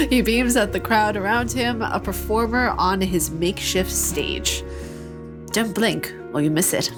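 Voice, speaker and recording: A woman's voice: medium pitch, animated, slow. A woman hosting a let's play, reading visual novel narration aloud and breaking off into playful, joking asides. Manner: playful, joking asides between narrated passages, frequent laughs. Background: game music.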